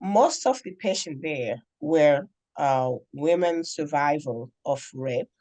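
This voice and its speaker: A middle-aged woman from the Democratic Republic of Congo, telling a story as a guest in an interview in an earnest, deliberate way with many pauses. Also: low voice, lively intonation, dark tone. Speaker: guest, nonprofit founder.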